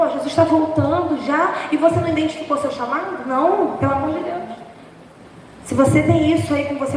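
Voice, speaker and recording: A young woman preaching an informal sermon, chatty and humorous, laughing at herself, with a hoarse voice. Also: high voice, a little hiss or hum. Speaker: preacher from the dance ministry. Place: large church hall. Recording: echoey church hall from far off.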